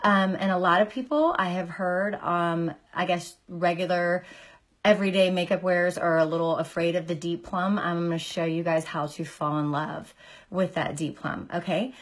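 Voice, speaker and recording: Woman, 40s, makeup artist, friendly filler-heavy chatter, voice a bit lower than usual from illness, phone camera. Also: steady tone, normal speed. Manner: chatty, filler-heavy, greets viewers by name. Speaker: makeup artist and beauty seller. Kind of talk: casual makeup tutorial livestream. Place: small indoor room. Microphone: phone camera at arm's length.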